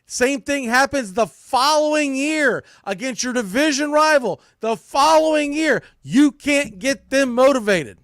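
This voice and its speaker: A man's voice: very high voice, lively intonation, a little slow, few pauses, a bit bright, no echo, studio quality. A man with an American accent giving blunt, emphatic, opinionated baseball commentary as a sports podcast host. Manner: blunt, emphatic, mildly salty language.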